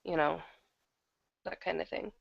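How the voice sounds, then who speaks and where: Female interview guest, conversational. Gravelly fry, dry and slightly dull recording. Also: low voice, flat delivery, a little slow, dry recording. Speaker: guest, audio drama producer. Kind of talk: casual interview talk.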